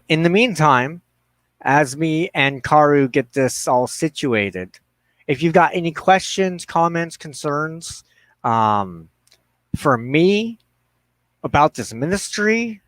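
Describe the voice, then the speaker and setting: A man's voice: on the high side, animated, measured pace, no room sound. A man hosting a live show, chatting casually to viewers in a slangy, rambling way into a headset mic. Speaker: livestream host of a spiritual ministry show. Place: inside a trailer.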